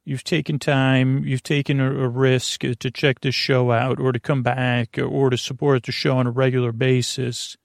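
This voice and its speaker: Male sleep-podcast host, gravelly fry, dry studio sound, halting and meandering bedtime rambler who stumbles on words. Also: mid-range voice, little pitch movement, no room sound.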